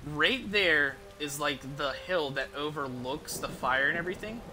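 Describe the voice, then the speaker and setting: A man's voice: fairly high pitch, animated, unhurried, noisy background. A man giving casual, rambling video game commentary, explaining things as he plays and swearing offhandedly. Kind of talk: casual gameplay commentary with tips.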